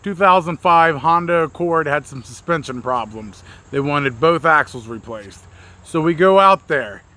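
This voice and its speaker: A man's voice: a little high, expressive, unhurried, pushed and bright. An American man in his thirties telling a story casually outdoors to camera, with blunt, slangy delivery. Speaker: mobile mechanic and video host. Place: outdoors in a leafy backyard.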